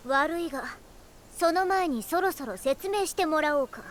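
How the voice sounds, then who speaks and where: A woman's voice: high-pitched, expressive, moderate pace, a little hiss or hum. A woman playing a bossy girl adventurer in an anime game who talks in haughty, old-fashioned elderly phrasing. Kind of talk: Japanese anime game voice acting. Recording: loud music behind the voice.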